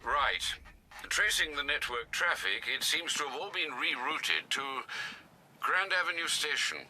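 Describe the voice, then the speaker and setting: An actor playing a polite, dutiful older butler in a video game, with a formal, measured manner. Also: male voice, a little high, big pitch swings, a little hiss or hum, heavily acted. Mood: worried. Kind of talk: video game cutscene acting. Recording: loud game sound effects behind.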